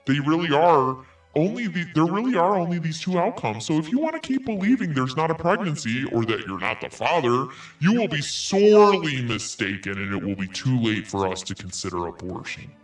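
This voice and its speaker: A man's voice: fairly high pitch, expressive, a little fast, distant mic, soft background music, low-quality recording. A man podcast host reading an email aloud in a theatrical, emphatic way. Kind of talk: dramatic read-aloud of an email.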